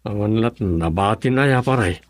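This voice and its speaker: An actor playing an easygoing, broke husband who works construction, in a radio drama. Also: male voice, on the low side, expressive, moderate pace, no echo, studio quality, lightly acted.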